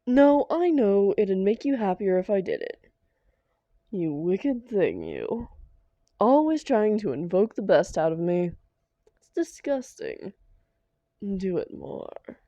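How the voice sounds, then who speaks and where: A woman's voice: medium pitch, sing-song, slow, long pauses, warm tone, dry recording, dull audio. A woman playing a theatrical female supervillain and doting, grumbling lover in a scripted audio roleplay. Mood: grudging, flirtatious. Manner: theatrical, affectionate pet names, exasperated protests.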